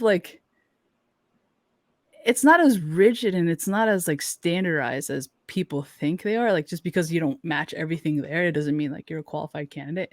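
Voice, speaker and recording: A man's voice: fairly high pitch, no room sound. A young man chats casually as a podcast co-host, thinking aloud in an informal, reflective way. The sound is dry, with very little room around the voice. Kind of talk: casual conversational podcast talk.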